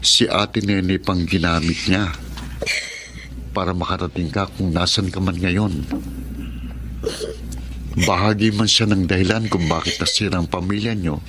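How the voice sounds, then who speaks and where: A man playing a calm young man who gently reassures a grieving friend. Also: sits low, noisy background. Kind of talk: radio drama acting.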